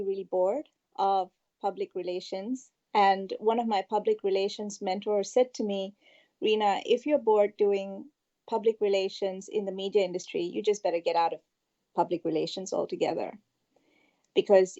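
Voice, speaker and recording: Woman guest from Malaysia, warm mellow tone, slightly dull lo-fi line, thoughtful storyteller. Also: mid-range voice. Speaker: guest, past life regression therapist. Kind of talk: interview storytelling.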